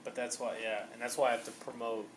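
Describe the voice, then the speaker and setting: A man's voice: mid-range voice, flat delivery, fast. A young man as a podcast guest in a small basement room, making his point earnestly and repeating himself, heard through a camera mic across a table. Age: young adult. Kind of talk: casual chat. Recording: noisy, hissy camera audio.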